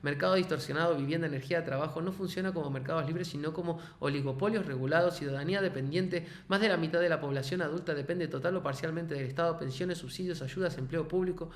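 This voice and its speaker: AI assistant voice, male, reads structured points evenly, a bit high, echoey playback. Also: quick pace, few pauses. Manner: even, declarative list delivery. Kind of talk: listed, structured answer.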